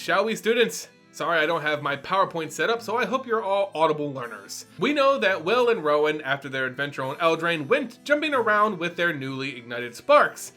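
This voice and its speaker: Bright, animated man with a high voice. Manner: playful lecturer addressing listeners as students. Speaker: host and narrator of a fantasy lore channel. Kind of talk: dramatic story reading and scripted lore commentary.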